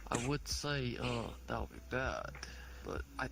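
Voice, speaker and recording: A man talks slowly in a heavily gravelly, fry-laden voice that moves widely in pitch. He hesitates and stops often as he roleplays, and music is clearly heard behind him. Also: lively intonation. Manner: hesitant, halting, full of fillers. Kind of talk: casual in-character roleplay.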